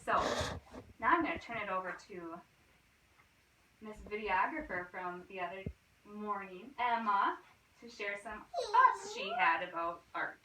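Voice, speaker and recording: A woman's voice: mid-range voice, big pitch swings, a little slow. A woman schoolteacher reading a picture book aloud expressively and prompting with questions in a teacherly way. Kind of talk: storybook read-aloud with questions to a child. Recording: roomy, distant microphone, faint noise.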